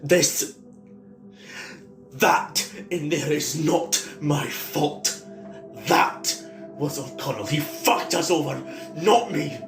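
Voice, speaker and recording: An actor playing a defensive, weary survivor in an audio drama, speaking in a halting, emphatic way. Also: male voice, a little high. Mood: angry, hurt. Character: defensive, weary male survivor explaining himself to friends. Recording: roomy echo with music behind.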